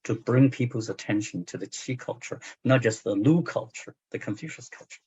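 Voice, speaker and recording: A man's voice: medium pitch, moderate pace, a little mellow, husky. A man in his sixties, a native Chinese speaker with a Chinese accent, talking as a translator in a book club discussion, hesitant with many fillers and restarts. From China. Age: 60s. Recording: muffled lo-fi audio.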